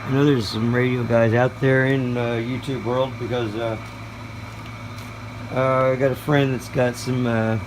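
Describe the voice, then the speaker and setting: A man doing a casual show-and-tell as a hobbyist presenter, speaking in a hesitant, folksy, halting way. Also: mid-range voice. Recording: noisy room with steady background hum.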